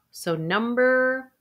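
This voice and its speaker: A woman coaching viewers through a guided intuition exercise, with soft sighs and a calm, unhurried delivery. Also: on the high side. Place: indoors, recording a video.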